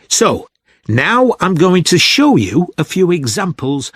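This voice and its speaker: Casting: man to play practical, encouraging male guide, unhurried advisory delivery with very varied intonation and a slightly rough edge. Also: high-pitched, sing-song, fairly bright tone, no room sound, clean studio sound, heavily acted. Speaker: speaker giving an informational talk. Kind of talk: scripted instructional talk.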